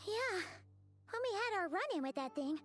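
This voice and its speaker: A tiny, chattering fairy sidekick from a fantasy game, her voice sitting sky-high and bouncing all over the place. She is bubbly and excitable, and soft game music plays underneath. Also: normal speed, full character voice. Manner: high squeaky voice, speaks of herself by name.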